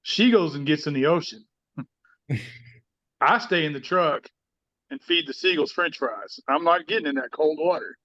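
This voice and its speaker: A man's voice: fairly high pitch, unhurried, pauses often, sharp tone. A man co-hosting a podcast, telling a casual story in a chatty, joking way. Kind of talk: casual anecdote. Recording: dull lo-fi audio.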